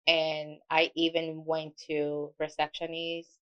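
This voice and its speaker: A woman's voice: sits low, monotone, slow pace, fairly bright tone, clean tone, dull audio. A middle-aged woman with a Spanish accent, a native Spanish speaker, telling her work story in a casual interview with small grammar slips. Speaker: guest, mortgage loan officer.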